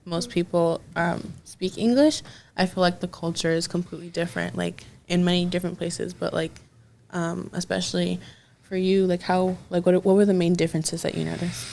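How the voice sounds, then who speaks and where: A woman's voice: on the low side, steady tone, moderate pace, vocal fry, darkish tone. Chatty young woman interviewer. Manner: chatty, lots of "like" fillers. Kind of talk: casual conversation. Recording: faint background noise.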